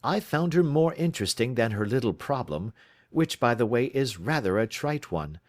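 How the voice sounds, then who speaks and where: Man reading as a brisk, assured detective, lively emphatic delivery, storybook reading. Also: medium pitch, dry recording, clean studio sound, natural voice. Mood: musing. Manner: crisp, authoritative, reassuring. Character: brisk, self-assured Victorian male detective.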